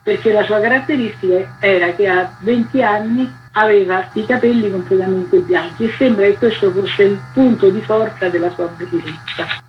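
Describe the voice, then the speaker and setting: A woman talks conversationally as a guest on a radio show. Her voice sits at a middle pitch, and the sound is dull and lo-fi, with a faint hum behind it. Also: moderate pace. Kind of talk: conversational book talk.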